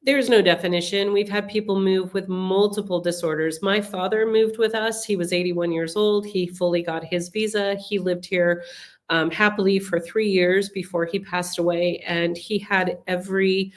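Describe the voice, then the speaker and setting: A woman's voice: mid-range voice, steady tone, moderate pace. A middle-aged woman with a cold, hosting a live webinar Q&A as a relocation advisor and answering viewer questions in a chatty, explanatory way with frequent ums and restarts. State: has a cold, sniffling. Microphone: computer mic on a webinar call.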